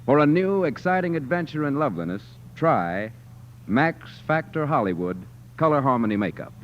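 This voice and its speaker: A man's voice: medium pitch, sing-song, moderate pace. Polished American male 1940s radio announcer. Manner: polished, emphatic sales delivery. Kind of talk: 1940s radio scripted commercial. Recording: dull, slightly noisy 1940s radio recording.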